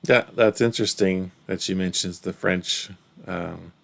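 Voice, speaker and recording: Gravelly low-voiced Canadian man. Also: steady tone, a little slow. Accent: English Canadian. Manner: thoughtful, musing, trails off softly. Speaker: reaction video host. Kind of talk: casual reaction commentary.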